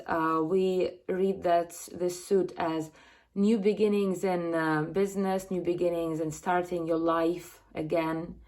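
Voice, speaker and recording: A woman in her thirties, originally from Russia, speaking in a somewhat low voice. She explains her cards in an earnest, unhurried way, often pausing with fillers and starting sentences over. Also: darkish tone. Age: 30s. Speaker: card reader presenting her deck. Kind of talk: casual spoken explanation to camera.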